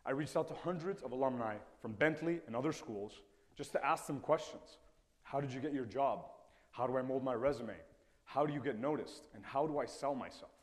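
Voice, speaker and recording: A man's voice: mid-range voice, fairly quick. A man in his twenties from Ecuador, a native Spanish speaker, telling personal stories in an earnest, reflective talk on stage. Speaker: TEDx speaker. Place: stage in a lecture hall. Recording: reverberant hall sound.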